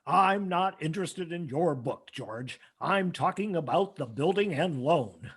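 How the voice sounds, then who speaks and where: A man playing a cold, pompous old tycoon in an old-time radio play, husky and contemptuous. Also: on the high side, lively intonation, measured pace, heavily acted. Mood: cold, dismissive. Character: cold, scornful old male town tycoon.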